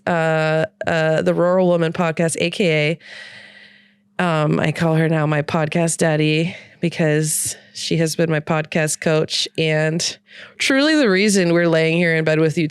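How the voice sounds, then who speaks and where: A woman's voice: lowish voice, smooth, no room sound, studio quality. A woman hosting a casual, unscripted podcast from a bed, rambling, giggly and self-deprecating. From Ontario, Canada. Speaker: podcast host. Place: hotel room, lying in bed.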